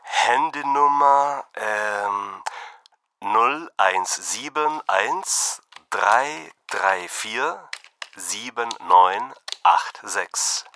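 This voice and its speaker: A man playing a slow, lively learner on the phone. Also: fairly low pitch, heavily acted. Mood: friendly. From Brazil. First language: Portuguese. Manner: slow, carefully enunciated. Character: friendly young male language learner. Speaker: student calling a friend for help. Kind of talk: scripted textbook dialogue.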